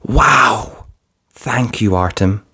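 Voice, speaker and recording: A man's voice: lowish voice, drawn-out words, sharp tone, breathy edge, heavily acted. A man voicing a shy, sweet six-year-old girl in a children's storybook, hesitant and earnest. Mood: shy wonder.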